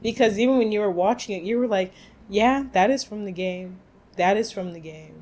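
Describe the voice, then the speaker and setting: A woman's voice: medium pitch, a little fast. A woman co-hosting a podcast, chatting casually and working out her thoughts as she talks. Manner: relaxed, talks it through with fillers. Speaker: podcast co-host.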